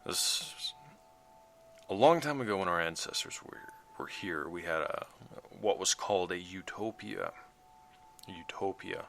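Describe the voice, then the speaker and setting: Gravelly, very deep, weary mechanic. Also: male voice, fairly bright tone, slight background noise, heavily acted. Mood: grave storytelling. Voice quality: low gravelly voice. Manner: halting, hesitant, reflective. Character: weary working-man vehicle mechanic recording a sombre log. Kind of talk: audio drama monologue, naturalistic. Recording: music running under the voice. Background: musical underscore.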